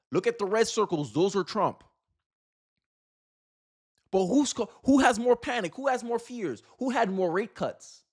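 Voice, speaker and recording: A man hosting political commentary, talking casually and emphatically to viewers with repeated phrases and rhetorical questions. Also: high voice.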